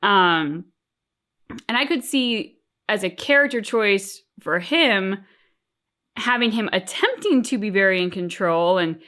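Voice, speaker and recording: A woman's voice: mid-range voice, lively intonation, measured pace, sharp tone. A woman co-hosting a reaction channel, talking casually and thoughtfully as she searches for words.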